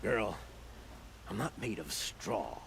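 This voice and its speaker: Shifty male gambler-merchant for a game: slow, gravelly, swooping sales patter, blustering and hesitant. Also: on the low side, big pitch swings, fairly bright tone, slight background noise, heavily acted. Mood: coaxing. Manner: hesitant, stammering, throat-clearing, sly salesman patter. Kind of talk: video game dialogue acting.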